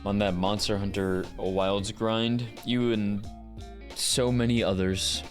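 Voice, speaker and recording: A man's voice: lowish voice, little pitch movement, takes it slowly. A man who works as a voice actor, chatting casually and playfully to his livestream audience in a musing way. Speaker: voice actor and live streamer. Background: soft plucked guitar music.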